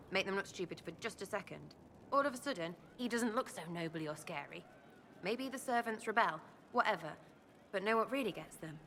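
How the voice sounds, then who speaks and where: A young, irreverent street rebel for a fantasy game. She has a British accent, a mid-range pitch and a cheeky, slangy, choppy way of talking. Clear ambient noise sits behind her. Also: pushed and bright. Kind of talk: video game dialogue acting. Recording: clearly noisy ambient background.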